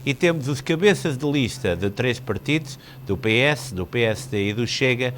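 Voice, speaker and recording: A man's voice: mid-range voice, animated, moderate pace, slight background noise. A man with a European Portuguese accent hosting a live phone-in show, courteous and chatty, dropping in the odd English word. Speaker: call-in show host.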